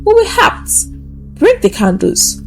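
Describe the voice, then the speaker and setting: A woman voicing a calm, firm, protective boarding-school house mistress giving urgent orders in a told story. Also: a little high, a little slow, soft background music, full character voice. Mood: commanding.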